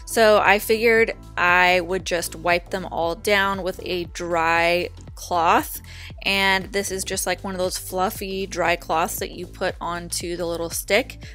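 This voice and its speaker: A young woman narrating her own home video in a chatty, relaxed voice-over, talking straight to her viewers. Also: lowish voice, a little slow. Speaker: vlogger. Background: faint background music.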